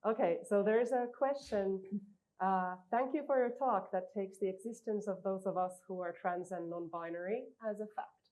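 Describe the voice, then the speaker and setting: A woman's voice: mid-range voice, normal speed, darkish tone, rough recording. Polite woman chairing a seminar. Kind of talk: moderating a Q&A session.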